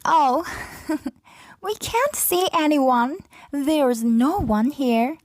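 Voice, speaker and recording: A woman's voice: high-pitched, expressive, extremely slow, darkish tone, no echo, voice pushed up, full character voice. A woman voicing a confident, bossy elder princess in a storybook, airy and sing-song. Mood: dismissive, amused.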